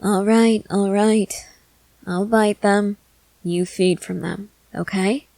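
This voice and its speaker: A woman's voice: medium pitch, steady tone, slow pace, gravelly, dark tone, no room sound. A woman playing a confident, experienced vampire who coaxes and reassures in a matter-of-fact way, in an ASMR roleplay. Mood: reassuring. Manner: matter-of-fact, coaxing, assured.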